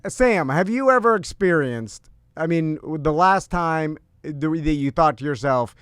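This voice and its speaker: Higher-voiced man, stammering casual chat. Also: lively intonation, a little slow, fairly bright tone, clear, resonant tone, dry recording, studio quality. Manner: stammering, rambling, questioning. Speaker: talk show host.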